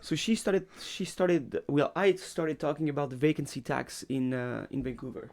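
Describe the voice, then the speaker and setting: A young man, a university lecturer, chatting casually on a podcast and telling animated stories about his students. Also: on the high side, normal speed, gravelly. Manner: animated storytelling, acts out his students and himself; frequent fillers and restarts. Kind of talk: casual chat.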